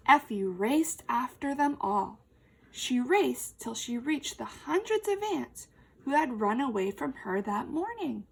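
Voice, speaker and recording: A woman's voice: sits high, takes it slowly, vocal fry, husky, rough recording. A woman teacher reading a storybook expressively to young children, speaking to them directly and putting questions to them. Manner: animated, emphatic, addressing young listeners directly.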